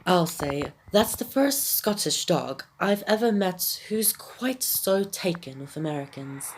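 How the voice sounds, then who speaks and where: A woman's voice: lowish voice, animated, measured pace, slight background noise, rough recording. A woman with a phlegmy, sick throat reading a video game's dialogue for a proper, devoted male Dalmatian father in a plain voice. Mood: earnest. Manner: reads game dialogue aloud in a plain voice.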